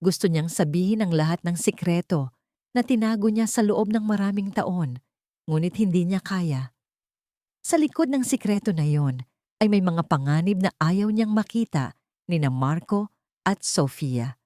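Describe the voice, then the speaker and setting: Filipina lady narrating a drama audiobook, low soft-edged voice, really dry sound. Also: animated, a little slow, no echo. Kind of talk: scripted dramatic story narration.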